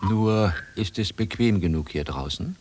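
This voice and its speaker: A man's voice: fairly low pitch, lively intonation, measured pace, noisy background. A man playing a genteel, hesitant, easily bewildered ship's captain in a German radio play. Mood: soft, hesitant.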